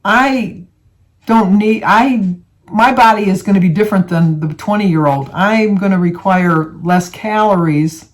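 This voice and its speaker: A woman's voice: medium pitch, measured pace. A woman in her seventies from the United States, talking casually and rambling to viewers, with many fillers and restarts. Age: 70s. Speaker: vlogger. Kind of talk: casual talk to camera.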